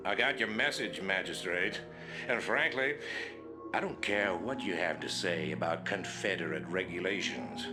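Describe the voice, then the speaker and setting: An actor playing an arrogant, contemptuous military commander with a Southern US accent in a game briefing. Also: male voice, deep voice, moderate pace, music in the background, close to an everyday voice. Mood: scornful. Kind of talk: video game briefing acting.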